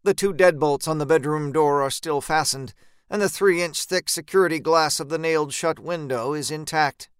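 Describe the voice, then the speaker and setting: A man narrates a dark first-person tale with measured tension. His voice is smooth and resonant, sitting a little high for a man. The recording is very dry and close, with every word cleanly shaped. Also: no echo. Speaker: story narrator. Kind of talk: dramatic first-person horror audiobook narration.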